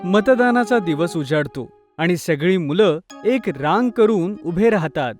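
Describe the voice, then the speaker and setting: A man's voice: high-pitched, animated, measured pace, a little mellow, faint music behind. A man reading scripted narration for a children's moral story.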